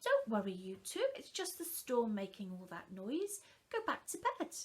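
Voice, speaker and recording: A woman reading the part of a calm, loving mother who gently and patiently reassures her young children in a storybook. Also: on the high side, moderate pace. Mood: soothing.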